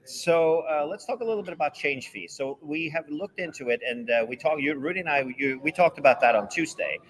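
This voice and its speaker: A man's voice: on the high side, rough recording. Guy co-hosting, lots of uh and restarts, video call vibe. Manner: halting, many fillers and restarts. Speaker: co-host. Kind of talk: casual travel news chat.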